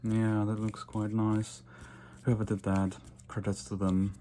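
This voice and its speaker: A man playing a vain, condescending yet flirtatious vampire in a soft-spoken, nonchalant ASMR roleplay. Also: lowish voice, level tone, a little hiss or hum, natural voice. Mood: approving, soft. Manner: soft-spoken, unhurried, repeats words in whispers.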